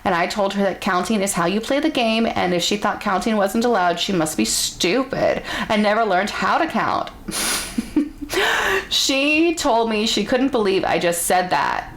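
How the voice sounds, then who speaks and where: A woman reading a story aloud in a casual, conversational way, theatrical and amused, with pauses and murmured asides. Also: mid-range voice, animated.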